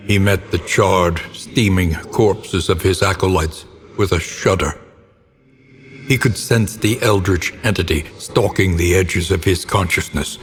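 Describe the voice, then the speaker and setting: An actor playing a grave, ominous narrator of gothic horror tales in a video game, with a solemn, theatrical and deliberate delivery. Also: male voice, low voice. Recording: long cavernous reverb, noticeable background noise.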